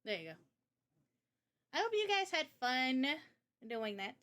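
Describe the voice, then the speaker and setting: Woman, higher-pitched voice, indie game developer, casual livestream chat, slangy, informal. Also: big pitch swings, unhurried, fairly bright tone.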